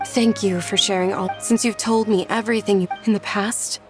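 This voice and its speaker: A woman's voice: mid-range voice, steady tone, normal speed, over music, close to an everyday voice. A woman voicing a gracious, perceptive young noblewoman in scripted game dialogue, speaking politely and with composure. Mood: measured, probing. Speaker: video game character voice.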